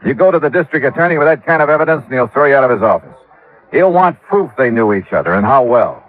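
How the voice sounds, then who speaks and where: A man's voice: mid-range voice, animated, fast talker, natural voice. An American man playing a wry, self-assured insurance investigator in a 1950s radio drama, with a clipped, hard-boiled delivery. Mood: pressing, businesslike. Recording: tinny vintage radio recording with faint music.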